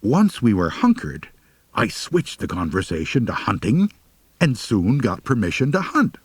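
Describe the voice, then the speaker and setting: A man's voice: fairly low pitch, wildly swooping pitch, unhurried, slightly rough, dry recording. A man narrating a humorous first-person story as an audiobook reader, with a wry, mock-serious delivery.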